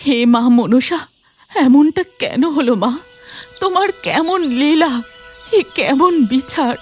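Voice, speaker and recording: A woman's voice: high-pitched, animated, slow pace, a little mellow, soft background music. A woman playing a devout village mother in a cartoon moral story, speaking in an emotional, imploring way. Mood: anguished pleading. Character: devout, pleading village mother praying to her goddess.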